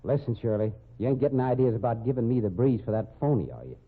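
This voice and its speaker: An actor playing a jealous, boastful cowboy husband with slangy, folksy talk in an old-time radio drama. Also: male voice, medium pitch, big pitch swings, fast talker, breathy edge. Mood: jealous, bitter. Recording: tinny, band-limited old radio recording.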